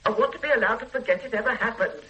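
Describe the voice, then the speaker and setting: An actress in a radio play voicing a bitter, resentful older invalid wife who speaks in a cold, cutting, controlled way. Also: female voice, fairly high pitch, rapid-fire, a bit bright, lightly acted, rough recording.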